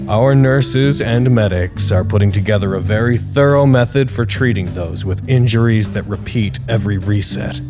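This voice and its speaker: An actor playing a wry, deadpan announcer reading chatty status reports in a scripted audio drama broadcast. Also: male voice, fairly low pitch, lightly acted. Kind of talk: scripted in-character radio broadcast. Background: music.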